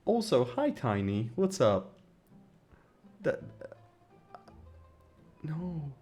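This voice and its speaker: A man's voice: fairly high pitch, very animated, drawn-out words, dark tone, husky. A man streaming a game, reacting with jokes, stammers and the odd swear. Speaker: gaming streamer. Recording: game music behind.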